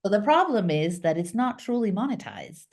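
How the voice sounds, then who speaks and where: A middle-aged woman from Argentina, a business founder, talking as a guest on a video call interview with lively hand gestures and many fillers. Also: medium pitch, normal speed. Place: small home office, indoors. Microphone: video call webcam.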